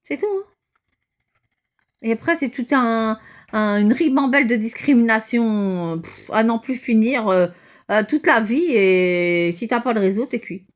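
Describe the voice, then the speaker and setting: A woman's voice: fairly high pitch, unhurried. A woman talking casually and bluntly at home, outspoken and exasperated. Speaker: vlogger. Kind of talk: casual indignant chat.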